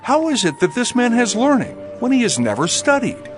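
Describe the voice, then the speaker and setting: An incredulous skeptic in a Bible drama. His high voice swoops widely as he questions, with music clearly behind him. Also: wildly swooping pitch, fairly quick, full character voice. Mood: amazed. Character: astonished, skeptical man among ancient crowd. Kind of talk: dramatized audio Bible acting.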